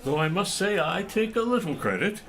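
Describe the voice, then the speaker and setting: A man's voice: high voice, big pitch swings, normal speed, fairly bright tone, pitched below the performer's own voice, heavily acted. A man voicing a hearty, self-satisfied friend of a knight in a theatrical drama, pompous in manner. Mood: proud, jovial.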